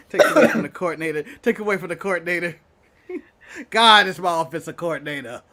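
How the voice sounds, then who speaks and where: Brief: male co-host, high voice, jokey banter, laughs a lot. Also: expressive, moderate pace, bright, forceful tone. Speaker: podcast co-host. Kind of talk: casual chat.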